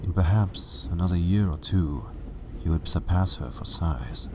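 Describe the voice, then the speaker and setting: A man's voice: low voice, expressive, unhurried, noisy background. A man narrating a story as a scripted reading. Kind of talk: scripted fan fiction narration.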